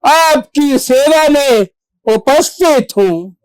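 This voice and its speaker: Stage actor for devoted, valiant monkey-god messenger: high voice, formal declamation, very drawn-out pacing, dramatic pauses. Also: expressive, dry recording. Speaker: stage actor in a monologue competition. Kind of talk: theatrical Hindi devotional stage monologue.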